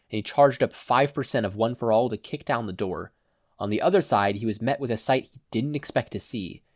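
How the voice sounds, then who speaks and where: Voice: mid-range voice, darkish tone, no room sound. Dry, close-miked storytelling narrator. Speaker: audiobook narrator. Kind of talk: scripted fan fiction narration.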